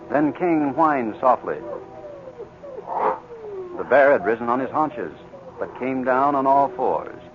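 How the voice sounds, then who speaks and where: A dull vintage radio recording of a male announcer. He narrates in a dramatic, scripted 1940s manner at a medium pitch. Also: noisy background.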